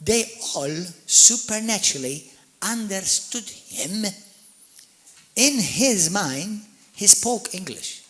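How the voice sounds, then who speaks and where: Bright high-voiced pastor preaching. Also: male voice, takes it slowly. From Malta. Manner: animated storyteller, repeats and restarts words, addresses the congregation directly. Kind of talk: sermon.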